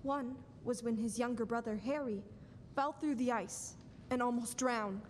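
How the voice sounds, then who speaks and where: Young woman as high-voiced heavenly superintendent, echoing hall. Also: measured pace, close to an everyday voice. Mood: calm, instructive. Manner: instructive storytelling. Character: calm, authoritative superintendent of angels. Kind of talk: stage radio-play acting. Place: school auditorium stage, standing at mic. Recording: reverberant noisy hall.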